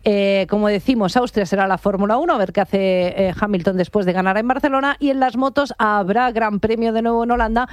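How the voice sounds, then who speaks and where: A woman's voice: medium pitch, a little fast, hardly any pauses, dry recording. Woman explaining sport, dry studio sound. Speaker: motorsport commentator on a radio show. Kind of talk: unscripted sports commentary.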